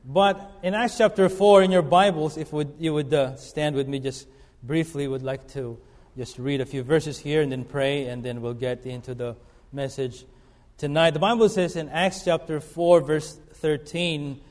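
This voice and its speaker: Preacher in a reverberant church. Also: male voice, a little high, fairly even intonation, a little mellow. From Philippines. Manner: earnest, halting with fillers and restarts. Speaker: guest preacher. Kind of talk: sermon. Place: church sanctuary. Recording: long reverb of a church hall.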